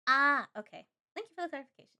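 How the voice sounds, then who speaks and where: A woman streaming a game live, chatty and expressive, reading the on-screen story aloud and tossing in playful asides. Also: high-pitched, rapid-fire. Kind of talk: live let's-play commentary, reading game text aloud.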